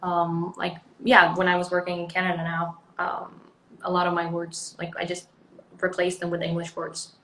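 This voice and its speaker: A woman's voice: lowish voice, monotone, normal speed, fairly bright tone, slight background noise. Swedish woman chatting, echoey room. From Sweden. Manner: chatty, rambling, frequent um and like. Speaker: actress guest. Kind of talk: casual interview chat.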